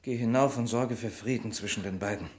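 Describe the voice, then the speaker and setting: An actor playing Maurice, a gruff, loyal, protective friend, in an urgent, coaxing way in a German radio crime drama. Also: male voice, on the low side, fairly quick, darkish tone, close to an everyday voice. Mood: reassuring, firm.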